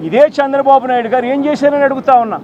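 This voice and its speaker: A man's voice: extremely high pitch, rapid-fire. A man making an indignant political statement. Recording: dull, noisy clip with crowd sound.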